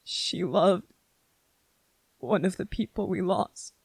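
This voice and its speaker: Someone acting a gentle young soldier guy grieving, asmr roleplay, real slow and halting, choked up, dry close sound. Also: mid-range voice, vocal fry, breathy edge, no room sound, full character voice. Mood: grieving, aching. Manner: halting, emotional, earnest, short broken phrases. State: emotional, close to tears. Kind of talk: ASMR roleplay, intimate emotional monologue to listener.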